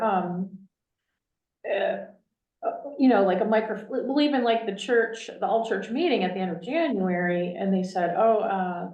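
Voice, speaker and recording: Chatty woman in a roomy space. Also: medium pitch. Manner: chatty, with many fillers and restarts. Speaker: church discussion participant. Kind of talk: casual group discussion. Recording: roomy, dull sound.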